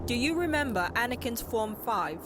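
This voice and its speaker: A woman's voice: a little high, expressive, normal speed, background noise, natural voice. A woman playing a focused, commanding young female warrior in a fan audio drama. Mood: focused resolve. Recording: loud score under voice.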